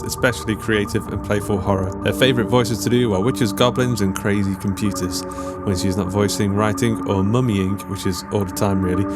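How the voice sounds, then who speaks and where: A man with a lowish voice reads the scripted closing credits of a podcast as its host. Loud music runs underneath him throughout.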